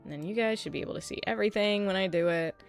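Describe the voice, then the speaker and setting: A woman's voice: mid-range voice, very fast, gravelly. A woman livestreaming in a casual way, chatting with her viewers, swearing often and laughing a lot, with a sleepy voice. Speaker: streamer. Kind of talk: casual livestream chat while setting up a 3D model. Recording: faint music underneath.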